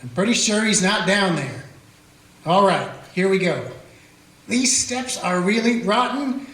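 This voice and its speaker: A man playing a small-town Old West sheriff in a radio play, also narrating in a plain, calm folksy way. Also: high voice, unhurried. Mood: steady. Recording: echoey room.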